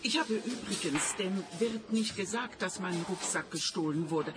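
A woman's voice: medium pitch, moderate pace, lightly acted. A woman playing an eccentric, prickly and secretive client in a radio play, talking curtly and insistently. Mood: secretive, earnest. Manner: curt, insistent.

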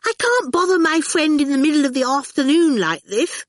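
A woman voicing a fussy, gushing, falsely bright spinster for a storybook, with strained politeness. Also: high-pitched, expressive, normal speed, dry recording, studio quality, pitched above the performer's own voice. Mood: indignant.

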